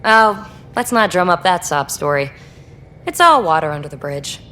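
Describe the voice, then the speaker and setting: A woman's voice: on the low side, lively intonation, normal speed, sharp tone. A woman playing a wry, flirty crew member of a space expedition in a video game, with a breezy, conversational delivery. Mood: reflective, guarded. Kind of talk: video game dialogue acting.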